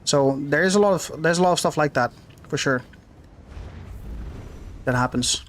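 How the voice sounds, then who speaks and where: A man streaming a game live, rambling casually and thinking out loud as he plays and answers chat. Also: fairly high pitch, moderate pace, a little hiss or hum. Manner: rambling, laid-back, thinks aloud with fillers.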